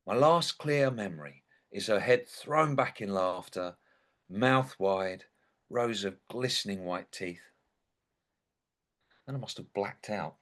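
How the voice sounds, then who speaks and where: A man's voice: on the low side, lively intonation, slow pace, fairly bright tone. An actor playing an indignant, sardonic dead young man who narrates a comic stage monologue in a wry, theatrical way. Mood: wistful.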